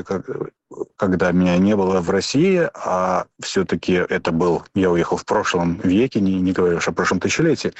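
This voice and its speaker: A middle-aged man from Russia answering interview questions spontaneously, in a halting, digressive way, often rephrasing himself. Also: on the low side, darkish tone, dry recording. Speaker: interview guest, online library keeper.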